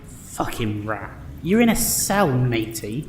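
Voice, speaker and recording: A coarse, bullying British crook played by a man, mid-pitched with big swings. He is slangy, aggressive and full of self-pitying bluster. The voice rings in an echoey room, in comic fantasy audio drama style. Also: very animated, takes it slowly. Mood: accusing, aggressive. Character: crude, bullying jailed criminal, male, self-pitying braggart. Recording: echoey room, background noise.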